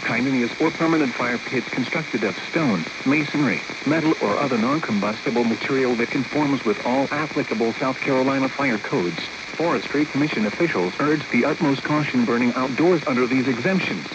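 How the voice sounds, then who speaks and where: A man's voice: mid-range voice, a little fast, hardly any pauses. A computer-generated male voice reading a weather bulletin in a mechanical, evenly paced way, with clipped headings between items. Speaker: automated weather radio announcer. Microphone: weather radio receiver. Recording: dull radio broadcast with loud static.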